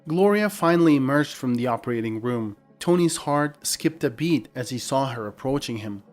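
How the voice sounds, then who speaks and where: A man's voice: medium pitch, expressive, normal speed, soft background music. A man reading a scripted dramatic story as narrator.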